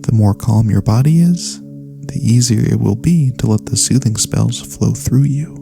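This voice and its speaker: A man playing a gentle elf cleric in a soft-spoken ASMR fantasy roleplay, speaking in a soothing, courteous, slightly formal way. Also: mid-range voice, measured pace, gravelly, over music. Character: gentle, caring male elf cleric healer, pacifist. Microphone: close studio USB microphone.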